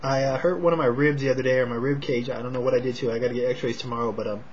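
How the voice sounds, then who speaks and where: An American man giving a casual, low-key and hesitant fight preview, not loud and slightly short of breath. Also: fairly even intonation, racing. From Sacramento, California, United States. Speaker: amateur boxing commentator and vlogger. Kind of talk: casual talk to camera, fight preview and prediction. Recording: dull, lo-fi home recording.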